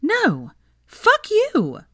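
Woman voicing an indignant young witch, pitched up high. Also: huge pitch swings, very slow, a bit bright, full character voice. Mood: outraged. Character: indignant, wounded young witch, fiery and tearful. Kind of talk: dramatic fan fiction audiobook reading.

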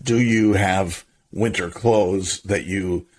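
A man with a fairly low, slightly rough-edged voice. He talks as a podcast co-host in loose, casual banter, with a playful, teasing way about him. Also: fairly even intonation, slow, darkish tone.

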